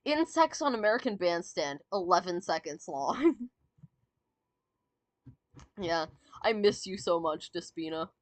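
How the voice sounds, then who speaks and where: A woman giggling and rambling as she ranks songs, her voice slightly raspy and sore from talking. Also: lowish voice, animated, measured pace, pauses often, no echo. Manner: giggly, rambling, many fillers and restarts. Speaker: VTuber streamer. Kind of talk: casual fan commentary, ranking songs.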